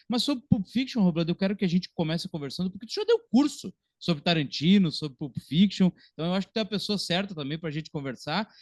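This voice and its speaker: A man's voice: high-pitched, dry recording, clean studio sound. A Brazilian man in his thirties hosting a podcast, talking about film in an informal, talkative, conversational way. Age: 30s. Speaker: podcast host.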